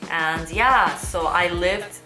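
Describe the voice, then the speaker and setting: A chatty woman, talking in a slightly low, bright, forceful voice. She rambles off topic while she works with a knife. The room is echoey, with soft music playing behind her. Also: takes it slowly. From South Korea and Los Angeles. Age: 30s. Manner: chatty, rambling, often switching into Korean words; talks while cutting fruit with a knife. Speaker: YouTuber host. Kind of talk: casual unedited Q&A chat. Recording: roomy echo with faint background music.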